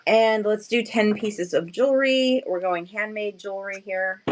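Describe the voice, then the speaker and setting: A woman with a slightly hoarse, just-woken morning voice, chatting casually and playfully as she sells handmade crafts on a livestream, often addressing viewers and poking fun at herself. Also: medium pitch, a little slow, slight background noise. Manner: self-deprecating, playful slangy wording. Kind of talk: casual live sales chat with viewers.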